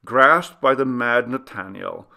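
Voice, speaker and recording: A man reading a classic Gothic story as scripted narration, in a theatrical, deliberate, literary manner. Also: medium pitch, gravelly, pushed and bright. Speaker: audiobook narrator.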